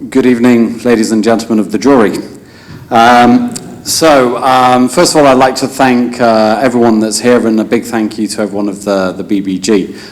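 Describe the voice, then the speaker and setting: A man from England giving a loose, halting candidate speech at a business group election, with a self-deprecating touch. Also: mid-range voice, fairly even intonation. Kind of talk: loosely improvised hustings speech. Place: hotel function room.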